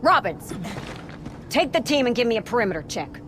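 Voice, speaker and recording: A woman's voice: a little high, big pitch swings, a little fast, background noise. A woman playing a battle-weary, no-nonsense lieutenant in a game, speaking in a curt, dry, commanding way. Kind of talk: cinematic game dialogue.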